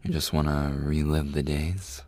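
A man acting a tender, lovestruck boss in an intimate boyfriend roleplay, speaking softly and hesitantly with a husky, breathy voice. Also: extremely low pitch, steady tone. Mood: weary, gentle. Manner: soft, hesitant, slow with long pauses. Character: tender, lovestruck male boss confessing to an employee. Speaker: audio roleplay performer.

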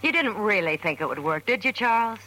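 Tough slangy showgirl from old radio, pitch swings all over, some hiss and noise. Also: animated, fairly quick, bright, forceful tone. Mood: impatient, defiant. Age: middle-aged. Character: brassy hard-bitten carnival showgirl pushing forty. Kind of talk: old-time radio drama acting. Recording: noisy vintage radio recording.

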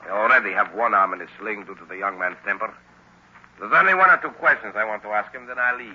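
Chilly 1930s radio inventor: raspy, deepish, curt and measured. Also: male voice. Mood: cool, curt. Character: cold, curt foreign inventor professor visiting a prisoner. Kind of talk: 1930s radio drama acting. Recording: muffled 1930s radio recording.

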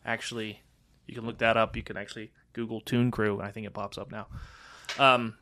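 Male podcast co-host, bright forceful tone, frank chatty delivery, dry sound. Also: lowish voice, flat delivery, fairly quick, no echo. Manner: chatty, frank, stumbling restarts. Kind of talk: casual chat.

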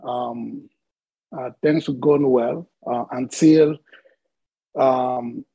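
A man from the Democratic Republic of Congo, a podcast guest telling his life story in a halting way, with many filler sounds. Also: mid-range voice, fairly even intonation, creaky, dark tone, husky, dry recording, muffled recording.

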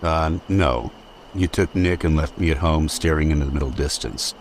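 An actor playing an earnest, dry, slightly self-pitying companion in a radio detective drama. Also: male voice, extremely low pitch, moderate pace, slight background noise. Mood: wounded. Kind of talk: old-time radio drama acting.

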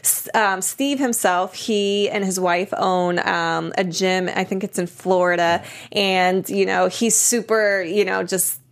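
A woman's voice: medium pitch, steady tone, a little slow, creaky. A woman from Boston with an American accent, chatting casually and telling stories with lots of "you know" and "like". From Boston, United States. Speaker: fitness trainer and TV show participant. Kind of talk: casual chatty show recap.

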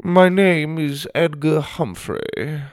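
A man voicing a snobbish, fancy headmaster in a children's storybook, with a haughty, precise, drawn-out delivery. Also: fairly high pitch, lively intonation, clear, resonant tone, dry recording, studio quality, pitched above the performer's own voice. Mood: haughty, formal. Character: snobbish, fancy male headmaster of a manners school.

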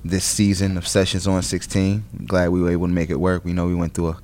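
A man's voice: deep voice, quick pace. A man hosting a music podcast, asking easygoing questions and often repeating short affirmations. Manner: relaxed, repeats "cool, cool, cool". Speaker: podcast host. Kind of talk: casual interview.

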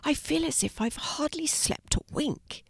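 A woman's voice: mid-range voice, big pitch swings, moderate pace, creaky, dry recording, clean studio sound. A woman voicing a sincere, helpful young boy who speaks in an earnest, instructive way. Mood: sleepy.

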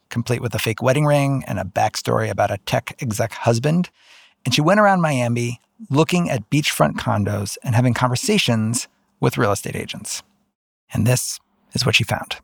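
A man with a gravelly, husky voice talks conversationally as a podcast co-host. The recording is close and dry, and he explains things in an everyday way. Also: medium pitch, animated, normal speed, no room sound. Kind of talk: conversational introduction to a story.

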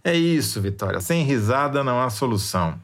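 A man's voice: mid-range voice, lively intonation, a little fast. A Brazilian man co-hosting a podcast from home, reading a listener email with dry, wry humor. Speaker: podcast co-host. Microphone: remote home mic.

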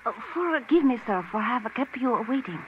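A woman's voice: fairly high pitch, animated, normal speed, a little hiss or hum, natural voice. An actress playing a serene, formal woman with a Chinese accent, speaking in stilted, polite phrases in an old radio drama. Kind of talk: 1940s radio acting. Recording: dull old radio recording.

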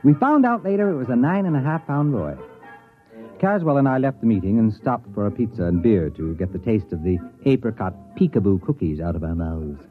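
A man's voice: mid-range voice, lively intonation, fairly quick, lightly acted. An actor playing a sardonic, impatient university administrator, telling his story with dry wit in classic radio drama style. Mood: wry narrating. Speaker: narrator and lead actor. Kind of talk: classic radio drama acting and first-person narration. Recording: muffled vintage radio recording with music.

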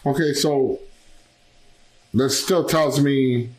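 A man's voice: medium pitch, drawn-out words, darkish tone, roomy sound. A man co-hosting a podcast, pushing back on points in a blunt, slangy casual talk.

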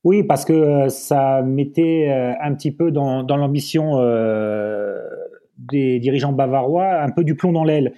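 A man whose first language is German, a football pundit guest in a casual podcast discussion, speaking haltingly and often restarting and repeating words. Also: mid-range voice, dark tone.

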